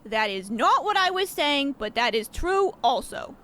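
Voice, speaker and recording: Actress as scheming greedy girlfriend, extremely high pitch, animated melody, condescending, melodramatic, radio comedy. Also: a little slow, heavily acted. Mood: exasperated. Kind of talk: comic old-time radio play acting.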